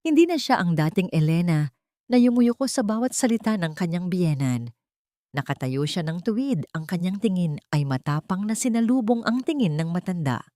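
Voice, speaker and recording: A woman's voice: fairly low pitch, animated, normal speed, dark tone, dry recording, clean studio sound. A woman narrating a dramatic love story from a script, in storytelling style.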